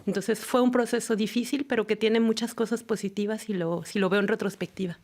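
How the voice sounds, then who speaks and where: A woman's voice: mid-range voice, fairly even intonation, a little fast, a little mellow. A woman from Mexico with a Mexican accent, answering interview questions in a studio. She is thoughtful and often hesitates while searching for words. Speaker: tequila ambassador, guest. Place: radio studio.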